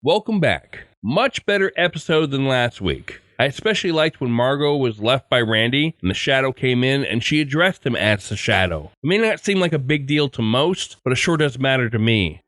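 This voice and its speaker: A man's voice: mid-range voice, very animated, moderate pace, hardly any pauses, a bit bright, dry recording. A man hosting a podcast with scripted narration. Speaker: podcast host.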